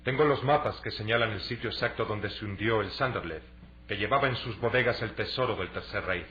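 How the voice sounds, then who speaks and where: A man playing an earnest young adventurer in a Latin American Spanish radio drama, explaining things deliberately and persuasively. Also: on the low side, a bit bright, close to an everyday voice. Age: young adult. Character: earnest young athletic adventurer selling a treasure hunt. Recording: dull, lo-fi radio drama recording.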